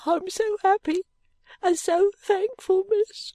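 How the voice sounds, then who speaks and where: A woman voicing a timid, tearful, grateful little girl maid in an audiobook, with soft, breathy, halting and deferential unschooled speech. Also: very high voice, fairly even intonation, no room sound, voice pushed up. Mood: grateful, overcome. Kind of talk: audiobook character voice.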